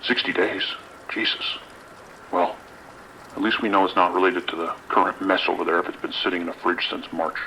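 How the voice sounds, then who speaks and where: A man performs a no-nonsense police lieutenant with an American accent. The voice is deep yet bright and forceful. He speaks in a clipped, dry, commanding way, as in a radio drama, over faint rainy noise. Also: fairly even intonation, fairly quick, vocal fry, exaggerated voice, rough recording. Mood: dry, surprised. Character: brisk, authoritative male police lieutenant, dryly sardonic. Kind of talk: naturalistic audio drama acting.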